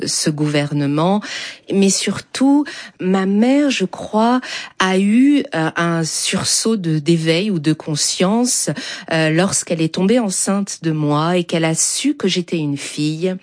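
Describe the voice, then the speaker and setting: A woman with a low voice answers as an interview guest. She speaks in a thoughtful, measured way, setting off key words with pauses in a reflective conversation. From born in Germany to Iranian and Kurdish exile parents. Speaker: interview guest, whirling dervish.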